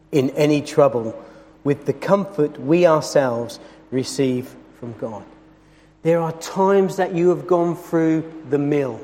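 A man preaching a sermon in a church, with a loud voice and an earnest, emphatic delivery that slows down over scripture. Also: a little high. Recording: long hall reverb.

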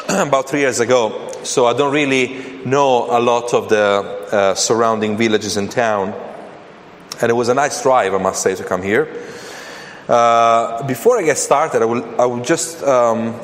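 Man, Italian accent, preacher, hesitant delivery, mid-range voice, long hall reverb, faint background noise. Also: mid-range voice. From Italy. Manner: hesitant, many fillers and restarts. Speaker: guest preacher. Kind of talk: sermon.

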